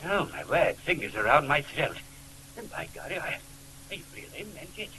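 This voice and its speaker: Flustered clerk, high-pitched and creaky, stammering and servile, on a noisy old radio drama recording. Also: male voice, very animated, normal speed. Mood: shaken. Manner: hesitant, stammering. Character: flustered, timid, servile male hotel clerk. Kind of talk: 1940s radio drama acting.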